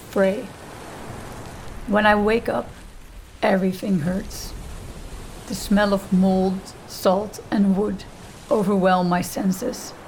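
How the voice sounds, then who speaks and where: Woman, audiobook narrator, slow pace, deliberate phrasing, scripted first-person fiction, medium pitch. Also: darkish tone, slight background noise, low-quality recording.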